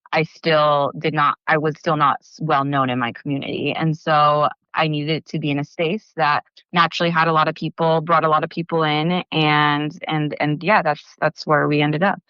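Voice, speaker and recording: A woman's voice: low-pitched, flat delivery, a little fast, clean tone, dry recording, lo-fi sound. A young woman, born in the Philippines and raised in California, chatting as a guest about her bakery, with lots of fillers and restarts. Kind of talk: casual interview talk.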